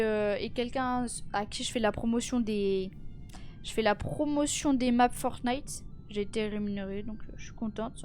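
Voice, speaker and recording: A woman's voice: on the high side, darkish tone. A teenage girl streaming live, chatting casually with viewers; she sounds tired and stuffy from a cold, with lots of fillers and restarts. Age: teens.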